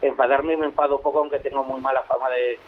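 A man's voice: medium pitch, level tone, fast, narrow, tinny audio, a little hiss or hum. A man from the Canary Islands with a slight peninsular Spanish accent, giving passionate, emphatic political opinions as a radio guest. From Canary Islands, Spain.

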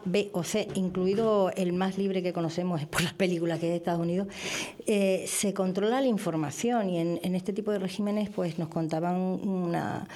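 A woman's voice: lowish voice, warm tone. A woman from the Canary Islands with a mixed Canarian Spanish accent, talking at length and giving her opinions as a radio guest. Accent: Canarian Spanish mixed with peninsular. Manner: talkative, opinionated, explains at length. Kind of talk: casual radio chat.

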